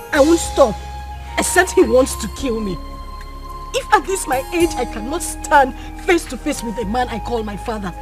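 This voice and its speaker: A young woman playing a king's abandoned daughter, tearful and defiant. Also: high-pitched.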